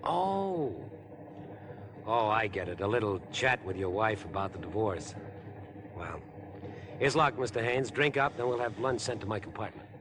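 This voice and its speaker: An actor playing an overeager, ingratiating stranger with an obsessive streak, chatty and pushy in 1950s radio drama style. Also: male voice, sing-song, normal speed, long pauses. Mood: pushy, fawning. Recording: 1950s radio broadcast, train rumble behind.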